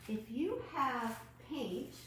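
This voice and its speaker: A woman with a highish voice leads storytime for children at an unhurried pace. She is animated and keeps asking her young viewers questions. The room she speaks in is noticeably echoey. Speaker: children's librarian hosting an online storytime. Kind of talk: children's storytime read-aloud and craft demonstration. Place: at home, indoors. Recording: roomy echo, faint background noise.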